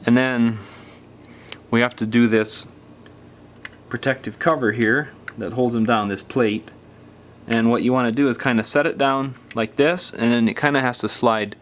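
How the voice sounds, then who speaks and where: A man's voice: medium pitch, slight background noise. A man giving casual, step-by-step hands-on instructions as a repair tutorial presenter, patiently explaining in an informal, folksy way.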